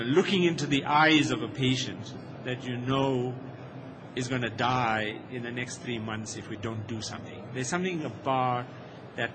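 A man, a physician, speaking on a panel in a loud, emphatic and earnest way. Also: medium pitch, noisy background. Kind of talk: panel discussion.

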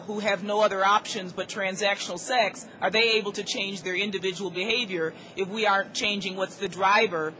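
A woman answering a question on a panel, measured and thoughtful, with frequent pauses and restarts. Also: mid-range voice, a bit bright, slight background noise, poor audio.

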